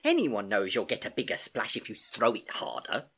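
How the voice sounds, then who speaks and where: A storybook reader voicing a relaxed, drowsy uncle with a teasing, hesitant manner. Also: mid-range voice, normal speed, husky, exaggerated voice. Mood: mischievous. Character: drowsy, mischievous, kindly uncle teaching by demonstration.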